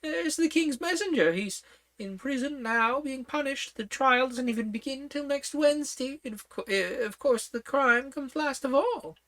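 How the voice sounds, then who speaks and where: Scatterbrained old storybook queen, high and fluttery, a woman reading it. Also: normal speed, fairly bright tone.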